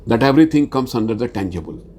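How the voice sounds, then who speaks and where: A man's voice: mid-range voice, lively intonation, warm tone, a little hiss or hum. A man from Bihar, India, with a Hindi-accented Indian English, giving an insurance training presentation, hesitant with many fillers and rising to stress key points. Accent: Indian English, Hindi-speaking. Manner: slow, hesitant delivery with frequent uh fillers.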